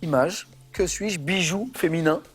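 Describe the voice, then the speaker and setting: A man's voice: sits high, unhurried, soft background music. A man hosting a television quiz show in a studio, playful and chatty with the contestants.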